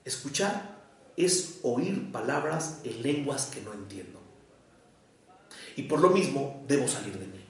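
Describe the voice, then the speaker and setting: A psychoanalyst from Mexico with a Mexico City accent, giving an academic lecture in a measured, deliberate way with short pauses between phrases. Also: male voice, medium pitch, lively intonation. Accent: Mexican Spanish, Mexico City. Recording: echoey room, voice picked up from far off.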